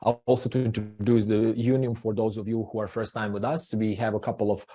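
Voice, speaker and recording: Low, mellow-voiced man from Croatia. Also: level tone, husky. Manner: halting, many fillers and restarts. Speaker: webinar host from marketing. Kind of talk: hosting a business webinar.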